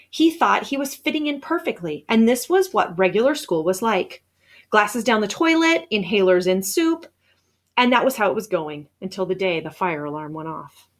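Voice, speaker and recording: A woman reading a children's novel aloud as scripted narration, with expressive storytelling emphasis. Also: medium pitch, normal speed. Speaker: storybook reader.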